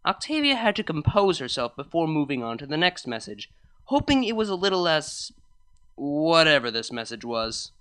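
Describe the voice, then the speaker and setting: Woman reading fanfic out loud, clear n bright, really animated storytelling. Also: low voice, moderate pace. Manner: expressive storytelling. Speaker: fan fiction narrator. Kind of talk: scripted story narration.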